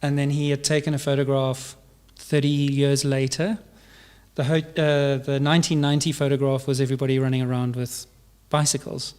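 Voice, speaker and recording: A man's voice: mid-range voice, flat delivery, moderate pace, darkish tone, smooth. A male podcast host who opens and asks questions hesitantly, with many fillers. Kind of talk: podcast interview.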